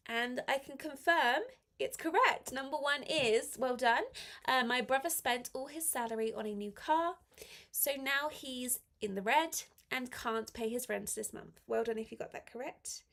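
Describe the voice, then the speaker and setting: A woman teaching a live online English lesson with a slight head cold, reading exercises aloud and encouraging learners in a clear, step-by-step way. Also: high voice, normal speed, a bit bright.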